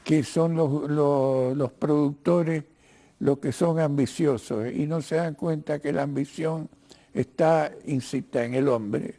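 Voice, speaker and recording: An older man with a Cuban-Argentine Spanish accent, speaking haltingly and professorially as a guest in a political interview, often repeating words as he searches for the next. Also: on the high side, steady tone, mellow. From Cuba. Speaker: interview guest, writer and lawyer. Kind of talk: political discussion in a broadcast interview.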